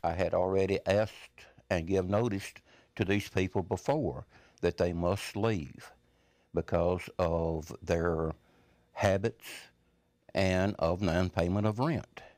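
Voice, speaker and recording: A man's voice: sits low, takes it slowly, a little mellow, no room sound. A man giving an unscripted statement in an interview.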